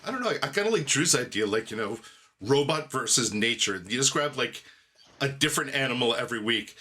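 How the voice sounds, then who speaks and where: A man co-hosting a podcast in casual comedic chat. His voice has a bright, forward tone at a middle pitch. He jokes easily and sometimes drops into a mock announcer voice. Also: animated, brisk. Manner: playful, announcer-like bits. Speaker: podcast co-host.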